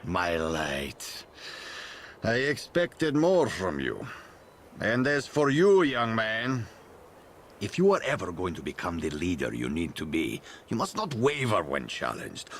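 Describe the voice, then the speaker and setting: A proud, gravelly patriarch speaks slowly and with command in a game cutscene. His lowish voice swings dramatically between stern and teasing. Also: big pitch swings, slight background noise, heavily acted. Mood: stern, scolding. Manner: commanding, deliberate, dramatic pauses. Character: stern, proud father and leader, teasingly theatrical. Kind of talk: video game cutscene acting.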